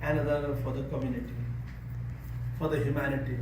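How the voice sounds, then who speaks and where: A man's voice: mid-range voice, level tone, brisk. A man from Nepal with a Nepali accent, giving an earnest speech to a service club and repeating words for emphasis. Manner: earnest, repeats words for emphasis, leads a call-and-response chant. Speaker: guest speaker. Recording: echoey room, speaker far from the mic, noisy.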